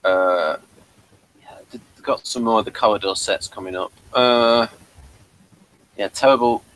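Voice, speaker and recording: A man's voice: lowish voice, measured pace, fairly bright tone, rough recording. A man hosting a casual episode review chat, talking in a hesitant, rambling way and addressing listeners. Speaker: host of a TV review discussion.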